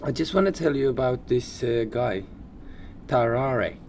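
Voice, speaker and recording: Slow-paced man in casual podcast chat. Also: medium pitch, animated, darkish tone, a little hiss or hum. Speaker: podcast co-host. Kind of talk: casual chat, sharing odd facts.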